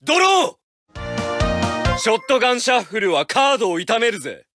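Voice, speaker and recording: A man's voice: extremely high pitch, big pitch swings, moderate pace, heavily acted. Teenage boy duelist, declamatory, cocky, video game, wide swinging intonation. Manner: dramatic, declamatory, cool. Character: cocky confident teenage boy card duelist with regal bearing. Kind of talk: video game character voice clips. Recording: game music behind.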